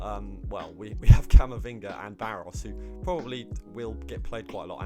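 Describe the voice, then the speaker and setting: A man, slightly hoarse, rambling casually about his football game squad with lots of ums and restarts. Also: on the low side. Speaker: gaming YouTuber.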